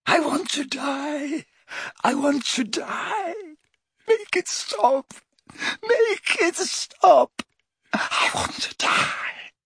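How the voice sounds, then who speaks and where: A man voicing a tormented old wizard who begs and sobs in high, broken cries. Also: very slow, vocal fry, fairly bright tone, dry recording, heavily acted. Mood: anguished. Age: older.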